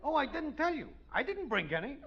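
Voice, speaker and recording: Brash, creaky high-pitched neighbor. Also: male voice, big pitch swings, fairly quick, heavily acted. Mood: evasive. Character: brash, wisecracking male neighbor and co-worker, freeloading rival. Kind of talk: 1940s radio sitcom acting. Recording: muffled 1940s radio recording.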